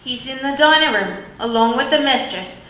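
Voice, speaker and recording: A woman's voice: high voice, expressive, moderate pace, sharp tone. A woman playing a polite young housemaid who speaks tentatively in a radio play. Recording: echoey, off mic.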